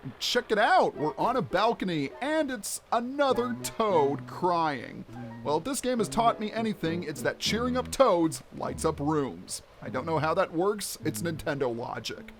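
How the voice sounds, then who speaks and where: A man hosting a let's play, explaining the game in an expressive, instructional way. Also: sits high, measured pace, music in the background.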